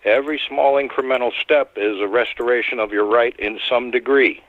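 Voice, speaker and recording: A man calling in as a radio guest who founded an advocacy group, speaking in a steady, persuasive, plain-spoken way. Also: mid-range voice, fairly quick, dry recording. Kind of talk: casual radio talk. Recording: tinny phone line.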